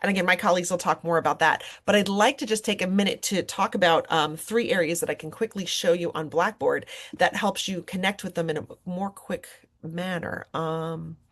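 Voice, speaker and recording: Woman, slightly low pitch, workshop presenter, informal software tutorial, conversational, encouraging, personal examples. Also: fairly even intonation, fairly quick. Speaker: workshop presenter, online course instructor.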